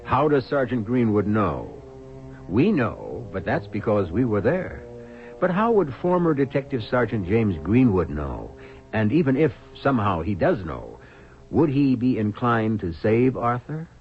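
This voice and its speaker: A man hosting a radio drama with measured, theatrical scripted narration, posing rhetorical questions in a ruminative way. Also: medium pitch, sing-song. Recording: dull lo-fi radio broadcast with music. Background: music.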